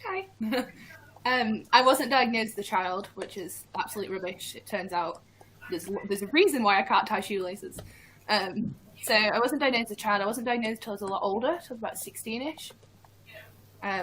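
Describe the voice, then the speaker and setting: A young woman student chatting on a video call in a jokey, animated way. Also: medium pitch, moderate pace, a little hiss or hum, rough recording. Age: young adult. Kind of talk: casual panel talk. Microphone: computer mic on a video call.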